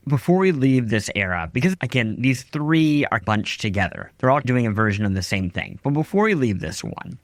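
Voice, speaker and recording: A man's voice: medium pitch, lively intonation, normal speed, few pauses, dry recording, studio quality. A man hosting a podcast interview in a conversational style.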